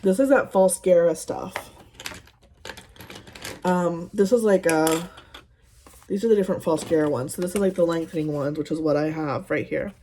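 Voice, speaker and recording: Sarcastic woman in her thirties, low-voiced. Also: normal speed, pauses often, mellow, slight background noise. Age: 30s. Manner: sarcastic, exasperated storytelling; rambling with frequent ums. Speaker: beauty vlogger. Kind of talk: casual get-ready-with-me chat.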